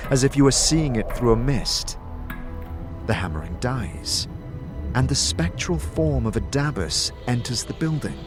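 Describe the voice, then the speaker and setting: Male narrator for dramatic fantasy audio story, medium pitch, background music. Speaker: narrator and game master. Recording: music under the voice.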